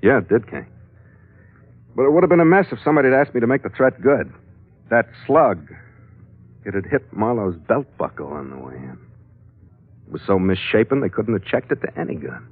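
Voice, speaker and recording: A man's voice: lowish voice, sing-song, fairly quick, long pauses, breathy edge, heavily acted. An actor playing a tough, wry sea captain who narrates his own adventures in hard-boiled 1940s radio style. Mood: wry, companionable. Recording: narrow old radio broadcast recording.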